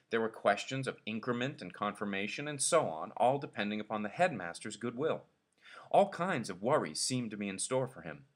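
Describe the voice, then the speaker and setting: A man's voice: lowish voice, a bit bright. A man narrating a short story expressively, as a reader telling it aloud.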